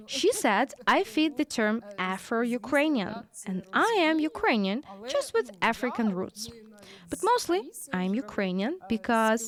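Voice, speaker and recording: A woman speaks a first-person voice-over translation in a lively, conversational way. Her voice sits a little high, and her pitch rises and falls a lot. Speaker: voice-over interpreter.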